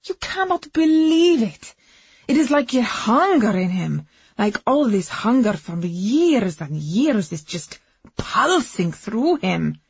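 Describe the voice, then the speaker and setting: A woman voicing a passionate immigrant wife, dramatic and breathy, in an audiobook story. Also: fairly low pitch, a little slow, mellow, dry recording, muffled recording, heavily acted. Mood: rapturous. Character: passionate Eastern European immigrant wife confessing her love affair.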